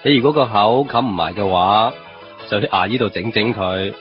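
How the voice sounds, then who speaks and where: A lowish-voiced office boss played by a male actor in a Hong Kong Cantonese radio drama. He is dry and chiding, delivered deadpan with bits of English. The sound is a little muffled, with music behind. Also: moderate pace, lightly acted.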